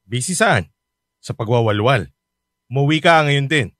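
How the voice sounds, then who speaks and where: A man's voice: medium pitch, expressive, measured pace, background noise, lightly acted. A man playing a strict, worried father on a long-distance call, scolding in a radio drama. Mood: angry. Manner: scolding, stern, exasperated.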